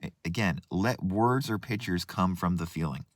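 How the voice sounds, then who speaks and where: A hoarse man speaking as a coach, earnest, with restarts and asides, who sometimes reads passages from a book. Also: low-pitched, normal speed, dry recording. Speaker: manifestation coach. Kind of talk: reflective talk with book readings.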